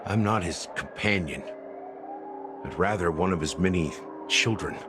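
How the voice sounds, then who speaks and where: An actor playing a weary, regretful officer in a video game, speaking gravely and deliberately with dramatic pauses. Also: male voice, deep voice, lively intonation. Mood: regretful, bitter. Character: weary, world-worn male officer haunted by regret. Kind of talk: English video game voice acting. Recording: dramatic music behind the voice. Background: loud background music.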